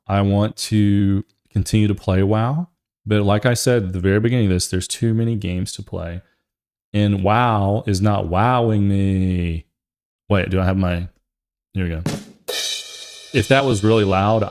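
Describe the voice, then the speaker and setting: A man's voice: on the low side, a little mellow. A man hosting a gaming show, giving casual, opinionated commentary in a rambling, emphatic way and often repeating his phrases.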